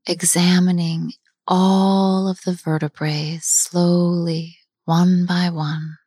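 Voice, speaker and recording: Slow, soft-spoken woman meditation guide. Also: fairly low pitch, level tone, dry recording. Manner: slow, soft, often half-whispered with long pauses.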